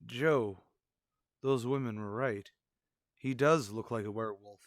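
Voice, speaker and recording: A man's voice: medium pitch, a little slow, no echo, clean studio sound, close to an everyday voice. A man playing a wisecracking police detective sidekick in a radio crime drama, casual in manner. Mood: wry.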